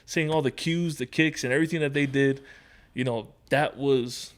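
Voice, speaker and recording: A man's voice: mid-range voice, normal speed. A man from Hawaii, probably in his thirties, talking as a podcast guest in an easygoing, rambling storytelling way. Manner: relaxed, storytelling with fillers. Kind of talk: casual conversation.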